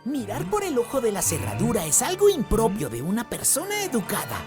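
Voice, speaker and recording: A woman's voice: fairly high pitch, wildly swooping pitch, fairly quick, music in the background. An actress playing a nosy, curious woman in a radio drama promo, musing theatrically to herself. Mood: sly curiosity. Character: nosy, curious woman peeping through a keyhole.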